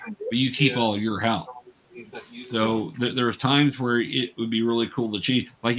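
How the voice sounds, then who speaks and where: A man's voice: mid-range voice, fairly bright tone, poor audio. A man explaining and narrating a tabletop game as game master, casually and patiently.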